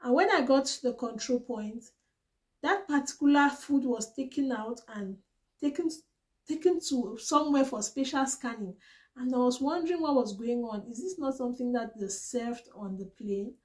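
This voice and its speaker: A woman's voice: a little high, expressive, normal speed. A young woman from Cameroon chatting earnestly about her own trip and giving practical advice in a casual vlog. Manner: chatty and earnest, retelling her own experience with small restarts. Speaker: vlogger sharing travel tips.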